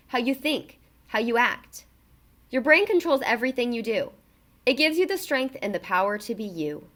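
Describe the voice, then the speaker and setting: A woman with a fairly high voice reads a children's book aloud. Her intonation swings widely and she stresses words expressively, pitching the narration to young listeners. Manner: expressive, emphatic delivery for children.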